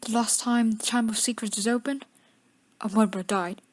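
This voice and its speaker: Female actor as spiteful schoolboy wizard bully for audio drama: high-ish, sneering, arrogant. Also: fairly even intonation, a little fast, close to an everyday voice. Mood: smug, conspiratorial. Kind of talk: fan audio drama acting.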